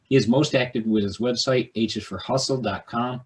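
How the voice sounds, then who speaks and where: A man's voice: medium pitch, steady tone, moderate pace. A man hosting a podcast, reading the guest's bio, then asking loose, rambling questions. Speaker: podcast host. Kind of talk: podcast intro and interviewing.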